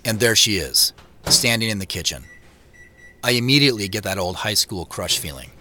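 Low, bright-voiced introspective male narrator. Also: expressive, moderate pace, a little hiss or hum. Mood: wistful, wry. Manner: introspective, wry. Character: wistful, self-conscious late-thirties man narrating his homecoming. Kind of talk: first-person scripted audio drama narration.

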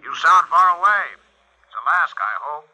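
An actor playing an exasperated, sardonic big-city police officer in a 1950s radio drama, with a testy, clipped delivery. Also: male voice, on the high side, lively intonation, moderate pace, pushed and bright, dull audio. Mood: dry.

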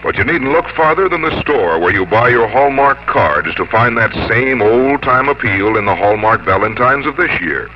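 Male American announcer, mid-pitched, polished and measured, selling on old-time radio with clear background noise. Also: animated. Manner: polished, measured sales delivery. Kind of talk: 1950s scripted radio commercial announcing. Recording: muffled, noisy old radio broadcast.

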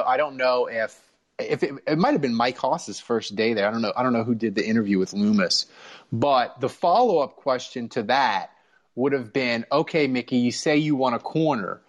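A man hosting a sports podcast with casual, conversational banter. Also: medium pitch.

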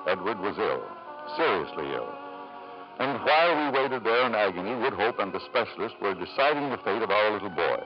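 A man acting an ambitious, doting father in a 1950s radio drama, expressive and prone to stammering when anxious. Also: on the low side, fairly quick, faint music behind. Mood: grave narration. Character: anxious, ambitious doting father, shopkeeper, also narrating his past. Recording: muffled, noisy 1950s radio broadcast.

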